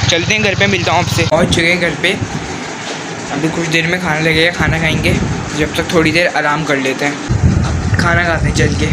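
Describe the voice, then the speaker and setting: A man's voice: on the high side, heavy background noise. A teenage boy narrating his daily vlog into a phone held at arm's length, plainly describing each step of his day. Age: teens. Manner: plain step-by-step running commentary. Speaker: vlogger. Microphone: phone camera at arm's length.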